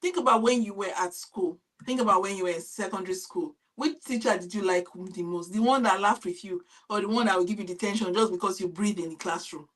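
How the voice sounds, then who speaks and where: Animated Nigerian woman, slightly distant mic. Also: medium pitch, fairly quick, hardly any pauses. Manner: animated, laughing, mimics pupils. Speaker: teacher sharing advice. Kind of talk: casual panel chat.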